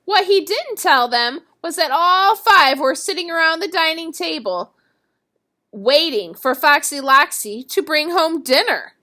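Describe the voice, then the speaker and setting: A woman reading a children's story aloud as an expressive storyteller. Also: sits high, measured pace.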